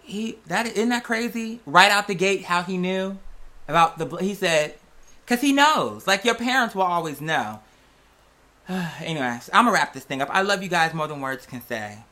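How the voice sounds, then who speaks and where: Bright, higher-voiced man chatting earnestly. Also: expressive, moderate pace. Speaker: vlog host. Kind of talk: casual, affectionate chat.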